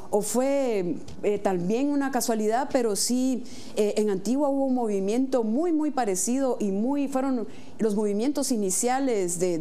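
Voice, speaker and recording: A woman from Guatemala, a mayor-elect, giving earnest explanatory answers in a studio interview, often pausing on "eh". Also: medium pitch, warm tone, slight background noise. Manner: earnest, explanatory, frequent "eh" hesitations.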